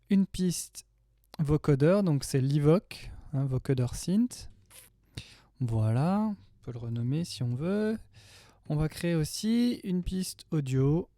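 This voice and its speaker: A man's voice: on the high side, darkish tone, no room sound, clean studio sound. A man in his thirties giving a casual, chatty, slangy tutorial as a music production tutor. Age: 30s. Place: small home studio indoors.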